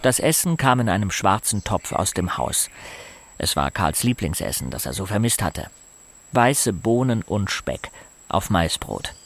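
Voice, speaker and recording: A man's voice: fairly low pitch, very animated, unhurried, fairly bright tone, full character voice. A man narrating a grim first-person story of a violent home in a German audio drama, in an observant literary style. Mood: descriptive. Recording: music behind the voice.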